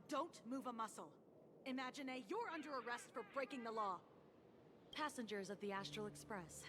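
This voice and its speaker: A woman voicing a composed, dutiful female law officer in a game, speaking formally and with measured authority. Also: medium pitch, close to an everyday voice. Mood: commanding. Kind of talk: game dialogue voice acting. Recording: music behind the voice.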